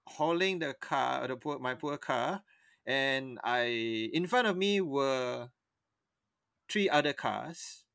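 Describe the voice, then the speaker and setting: Bright-voiced man telling a story. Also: mid-range voice, no echo. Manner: rambling, often stops and restarts mid-sentence. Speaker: podcast co-host. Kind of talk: casual storytelling chat.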